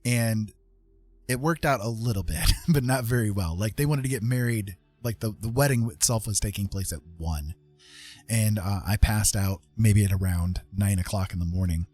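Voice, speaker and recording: Male streamer, slightly deep and rough-edged, rambling informally through a personal anecdote, music underneath. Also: moderate pace, darkish tone. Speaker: livestream host. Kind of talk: casual rambling storytelling.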